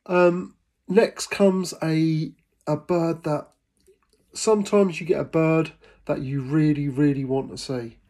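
A man's voice: on the high side, steady tone, slow pace, dark tone. A middle-aged man from England narrating his birding vlog as a casual voice-over, enthusiastic and hesitant, with many ums and uhs. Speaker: birding vlogger.

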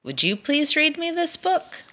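A woman's voice: high-pitched, very animated, a little slow, sharp tone, slight background noise, voice pushed up, exaggerated voice. A woman voicing an eager, polite young male dragon who loves books, pleading in a friendly, emphatic way in a children's picture book.

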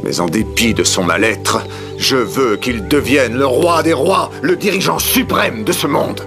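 A man playing a proud, anxious middle-aged king in an animated film, speaking in a stately, formal manner. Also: medium pitch, unhurried, creaky, over music. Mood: troubled, resolute. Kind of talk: dramatic animated film dub.

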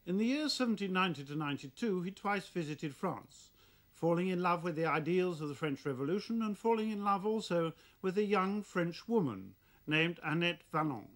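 A man in his fifties with an English accent presenting a documentary in an articulate, scholarly, measured way. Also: high-pitched, animated.

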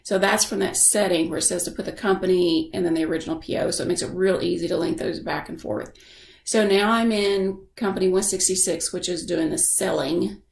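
A woman giving a casual software tutorial as a screen-share voice-over, explaining informally and thinking aloud. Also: lowish voice, steady tone, a little fast, gravelly, reverberant. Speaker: software instructor.

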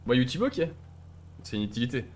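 A man's voice: mid-range voice, big pitch swings, brisk. A young man giving casual, cheeky gaming commentary and slangy role-play banter. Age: young adult. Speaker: streamer playing an online role-play game. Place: indoor streaming setup. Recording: faint car and game noise behind.

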